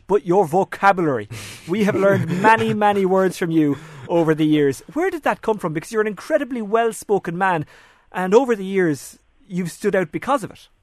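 High-voiced male radio co-host. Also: dry recording. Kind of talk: interview questions.

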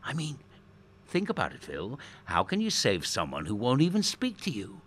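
A man acting a manipulative radio host in a dark audio drama, falsely kind, theatrical and coaxing, with a husky, gravelly voice. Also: medium pitch, wildly swooping pitch, moderate pace. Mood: coaxing, pressing. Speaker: radio presenter.

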